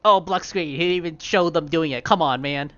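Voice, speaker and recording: A man's voice: fairly high pitch, brisk, fairly bright tone, no echo. A man doing casual gameplay commentary as a streamer, jokey and exclaiming, with slightly muffled sound. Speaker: game streamer.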